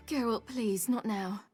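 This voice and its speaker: High-voiced, headstrong young warrior woman. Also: slow pace, a little mellow, soft background music. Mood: weary, confiding. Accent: British. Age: young adult. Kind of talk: video game cutscene acting.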